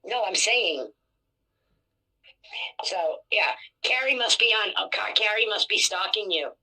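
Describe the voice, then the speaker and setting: A woman with a raspy voice venting casually in a blunt, profane, self-deprecating way. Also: medium pitch, lively intonation, unhurried, pushed and bright, poor audio.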